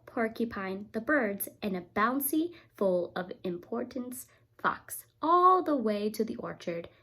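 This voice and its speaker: A woman teacher reading a picture book aloud to young children, expressive and often turning to talk to them directly. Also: on the high side. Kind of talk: read-aloud storytime with asides to children.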